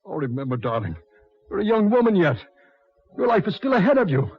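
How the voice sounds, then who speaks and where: An actor playing an ardent, insistent suitor, overwrought and melodramatic in 1940s radio style. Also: male voice, high voice, sing-song, brisk, husky, heavily acted. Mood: possessive, insistent. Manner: impassioned, overwrought, forceful pleading. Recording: muffled 1940s radio recording.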